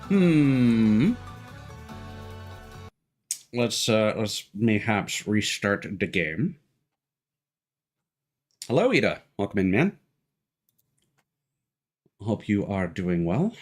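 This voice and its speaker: A man livestreaming a video game, chatting casually in a rambling, halting way with long pauses. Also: fairly low pitch, big pitch swings. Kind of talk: casual chat while playing a game. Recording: faint game music behind. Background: game music.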